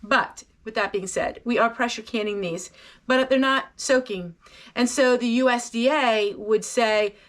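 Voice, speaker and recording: A woman's voice: fairly high pitch, a little slow. A middle-aged woman giving a casual, folksy homestead how-to talk, chatty and explanatory. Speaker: home canning vlogger.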